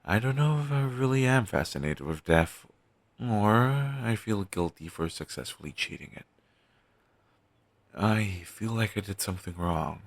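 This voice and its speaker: A man playing a pessimistic zombie best friend with dark sarcasm, speaking haltingly in an intimate audio roleplay. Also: low-pitched, vocal fry, dry recording, exaggerated voice. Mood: guilty, bitter. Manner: halting, sighing, sardonic delivery with trailing hesitations.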